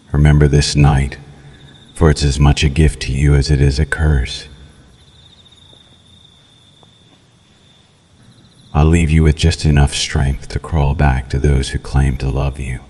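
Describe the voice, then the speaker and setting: A man's voice: a bass voice, a little mellow. A man playing a cruel, seductive vampire in an ASMR roleplay, taunting the listener with formal, theatrical menace. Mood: condescending, menacing. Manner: slow, theatrical, mocking, menacing purr with formal diction.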